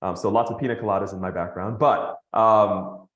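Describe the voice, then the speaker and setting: A man from Nigeria and the Bahamas, a podcast guest telling his story in an animated way, laughing as he goes. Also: on the low side, moderate pace, roomy sound, muffled recording. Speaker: guest, company founder. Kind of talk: podcast interview.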